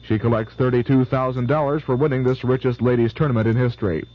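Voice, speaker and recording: A man reading the sports results and weather forecast on radio news in a brisk, even way. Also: medium pitch. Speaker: radio newscaster. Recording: lo-fi 1970s AM radio.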